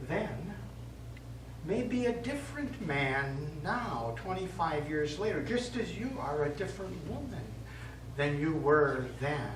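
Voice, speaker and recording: Actor as slow, patient priest, echoey stage. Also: male voice, on the high side. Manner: measured, halting, counselling. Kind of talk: stage play acting. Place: small theatre stage, recorded from afar. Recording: echoey stage, distant mic, clear noise.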